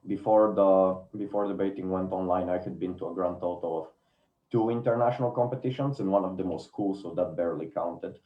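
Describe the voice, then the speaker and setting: A man's voice: low voice, steady tone, brisk, roomy sound, highs cut off. A man from Bulgaria introducing himself on a panel with dry understatement. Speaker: debate panelist.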